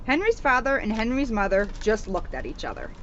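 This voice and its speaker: Woman, high voice, expressive children's read-aloud, emphatic delivery, dull recording with background noise. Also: brisk. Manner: animated, emphatic on sound words. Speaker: storybook reader, police officer. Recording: noisy, dull outdoor recording.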